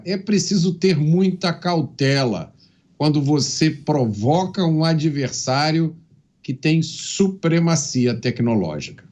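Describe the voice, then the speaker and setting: A man's voice: on the high side, a little mellow. A Brazilian man giving opinion commentary in a dry, didactic manner. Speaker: political commentator.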